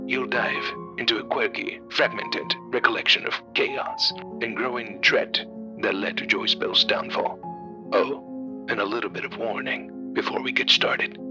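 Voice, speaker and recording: Male announcer, fairly low pitch, ominous, measured, scripted horror podcast intro, music bed. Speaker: series announcer. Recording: music behind the voice.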